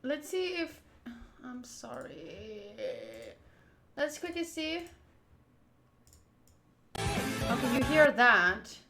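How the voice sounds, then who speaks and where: A woman's voice: high voice, sing-song, drawn-out words, long pauses, pushed and bright, reverberant, faint music behind. A woman hosting a game live stream, chatting casually in a playful, teasing and informal way. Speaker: live streamer hosting a visual novel playthrough. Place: indoors, home room.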